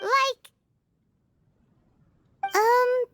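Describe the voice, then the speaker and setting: A woman voicing a tiny, cheeky, excitable fairy companion in an anime video game, with a squeaky childlike voice and a chatty, teasing manner. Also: very slow, no echo, studio quality, exaggerated voice. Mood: gleeful teasing. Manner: chatty, teasing, speaks of herself in third person.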